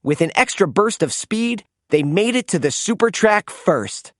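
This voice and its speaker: Slow, very animated storybook narrator. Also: mid-range voice, no echo, clean studio sound. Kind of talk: animated children's read-aloud narration.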